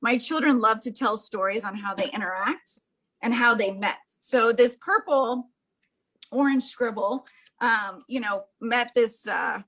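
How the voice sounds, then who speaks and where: Woman, fairly high voice, art demonstrator, chatty and gushing, casual how-to delivery. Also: unhurried, a bit bright. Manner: enthusiastic, gushing. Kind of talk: casual hands-on craft demonstration.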